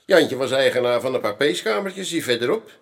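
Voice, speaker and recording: An actor playing a chatty, unbothered Amsterdam café owner in a radio play, colloquial and knowing, with hesitant asides. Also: male voice, medium pitch, lively intonation, fairly quick, creaky. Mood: knowing, amused. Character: male Amsterdam pub landlord, streetwise, chatty, unbothered. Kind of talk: Dutch radio play acting.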